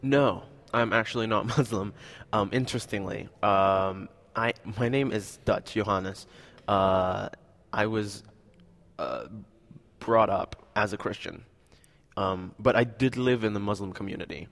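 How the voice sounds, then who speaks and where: A man's voice: on the low side, unhurried, fairly bright tone, big-room sound. A young man from Indonesia, answering interview questions in a hesitant, casual way with many fillers. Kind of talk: casual conversation.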